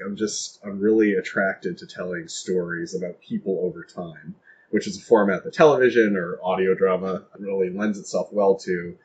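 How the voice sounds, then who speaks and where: A man chatting casually as an interview guest, talking in a rambling, self-deprecating way. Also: sits low. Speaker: guest playwright and author. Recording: dull lo-fi recording with faint noise.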